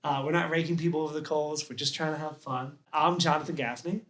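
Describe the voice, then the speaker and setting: A man with a somewhat high voice hosts a podcast. He talks casually and jokingly, as in a loose chat. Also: fairly even intonation, fast talker, fairly bright tone. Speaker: podcast host.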